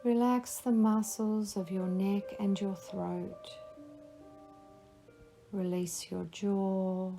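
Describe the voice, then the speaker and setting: Female meditation guide, very slow, mellow tone, soft music under. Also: medium pitch, level tone, vocal fry. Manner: slow, soothing, instructive. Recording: faint music behind the voice.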